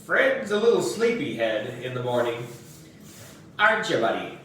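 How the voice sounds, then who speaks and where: A man reads as a doting school principal in a children's storybook. He is indulgent and baby-talking, with a very lively pitch. The sound is distant and very echoey. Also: normal speed, slight background noise. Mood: cooing, playful. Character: doting male school principal fond of his pet frog.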